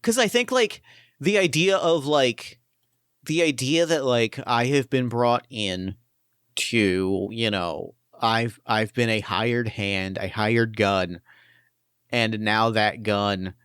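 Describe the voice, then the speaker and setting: Man with a smooth, resonant voice. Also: a bit bright, dry recording, studio quality. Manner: thinks aloud, pitching ideas with eager restarts. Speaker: game master. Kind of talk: collaborative planning for a tabletop game.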